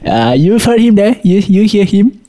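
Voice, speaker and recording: Young man hosting, slightly high voice. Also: very animated, normal speed, warm tone. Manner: laughing, teasing banter. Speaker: podcast host. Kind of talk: casual chat.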